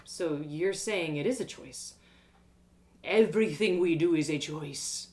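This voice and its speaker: A woman voicing a wise, gentle old village man in a storybook, speaking slowly in a measured, fatherly, philosophical way. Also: low-pitched, voice pushed down. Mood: calm, knowing.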